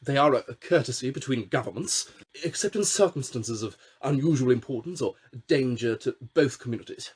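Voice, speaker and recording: A man's voice: medium pitch, animated, a little fast, a little mellow, slightly rough. A man voicing a short, fidgety, nervous male minister who fawns and hesitates. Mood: timid. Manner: timid, hesitant, fawning.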